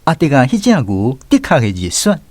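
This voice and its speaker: A man's voice: mid-range voice, huge pitch swings, fairly quick, no room sound. A man with a Taiwanese accent narrating a scripted radio drama as a storyteller.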